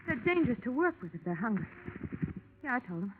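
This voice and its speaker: An actress playing a young carnival snake charmer with a brisk, matter-of-fact manner in a 1950s radio drama. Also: female voice, fairly high pitch, big pitch swings. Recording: tinny, hissy old radio broadcast.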